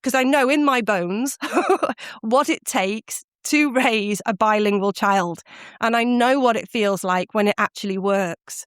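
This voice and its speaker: Woman, middle-aged, from the UK, animated, laughs a lot, crisp voice, no room echo. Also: a little high, unhurried, studio quality. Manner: animated storytelling, laughs often. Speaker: guest, language course founder. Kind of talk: podcast interview answers.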